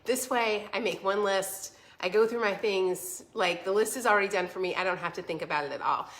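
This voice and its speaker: A woman speaks at an average pitch, sounding congested. She chats informally as a livestream host, addressing viewers directly and offering encouragement. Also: a little fast, fairly bright tone. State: stuffy, congested voice from a cold. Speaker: vlog host selling a home planner. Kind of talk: casual livestream chat, answering viewer comments.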